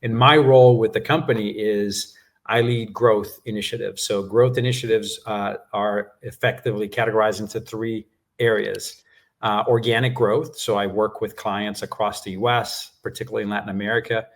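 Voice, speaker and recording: A man whose first language is Portuguese, calmly explaining his work as a guest in a business interview, with frequent fillers. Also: on the low side. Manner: measured explanations with frequent "uh" and "right?". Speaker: guest executive.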